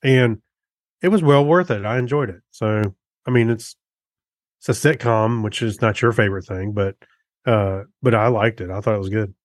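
Halting man chatting casually, dry sound. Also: fairly low pitch, no echo. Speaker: podcast co-host. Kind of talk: casual chat reviewing TV shows.

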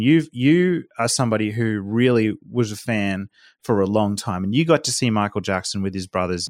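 A man's voice: lowish voice, dry recording, clean studio sound. A man hosting a casual roundtable discussion and talking loosely with fillers and restarts. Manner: conversational, with filler words and restarts. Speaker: podcast host.

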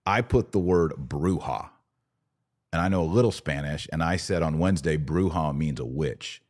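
Very deep-voiced American man, sardonic podcaster. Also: normal speed, darkish tone. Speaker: podcast host. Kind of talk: conversational religious commentary.

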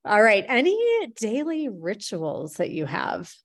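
A woman's voice: mid-range voice, sing-song, a little slow. A woman hosting a conversational podcast, affirming her guest with enthusiasm. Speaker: podcast host, marketing CEO.

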